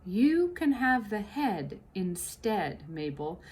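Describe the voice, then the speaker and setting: A woman's voice: medium pitch, very animated, slow, a little mellow, slightly rough, full character voice. A woman voicing an impatient, commanding mistress of a house who gives curt orders, in a storybook reading.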